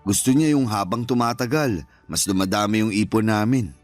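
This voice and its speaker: A man's voice: fairly low pitch, a little fast, faint music behind, natural voice. An actor playing a devoted, reassuring middle-aged father in a Filipino radio drama, speaking affectionately and conversationally with a Filipino accent. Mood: reassuring, earnest.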